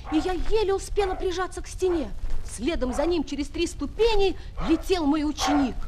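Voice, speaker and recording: A woman playing a schoolteacher who narrates a children's audio play with expressive storytelling. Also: high-pitched, background noise. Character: female schoolteacher quietly following and admiring her pupil. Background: music.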